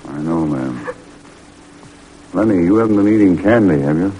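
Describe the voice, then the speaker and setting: An actor playing a calm, grave family doctor in an old-time radio drama, soothing and unhurried. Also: male voice, low voice, breathy edge. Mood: gentle, grave. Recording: vintage radio recording with hiss.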